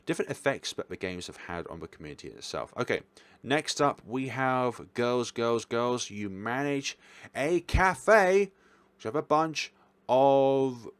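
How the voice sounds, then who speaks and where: A man's voice: medium pitch, expressive, a little slow, smooth, no echo. A man giving casual tier-list commentary as a video host, talking in an informal, rambling way and correcting himself as he goes. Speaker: video host reviewing games.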